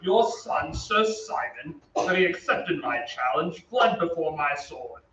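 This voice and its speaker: A man playing a challenging gentleman knight in old-time radio acting, with a declamatory, demanding manner. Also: fairly high pitch, animated, takes it slowly, heavily acted. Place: theatre stage. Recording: echoey stage, distant mic, dull, faint noise.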